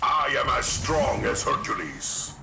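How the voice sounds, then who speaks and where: An actor voicing a hulking, menacing, arrogant masked villain in a video game, making grandiose threats. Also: male voice, mid-range voice, big pitch swings, gravelly, exaggerated voice. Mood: proud. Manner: slow, menacing, grandiose declarations. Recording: loud music behind, echoing sound.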